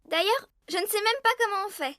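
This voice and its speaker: A little girl sheep with a very high, bright voice. She sounds innocent and matter-of-fact, in the simple style of a preschool cartoon. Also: fairly quick. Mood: curious. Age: child character. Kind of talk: French-dubbed preschool cartoon acting.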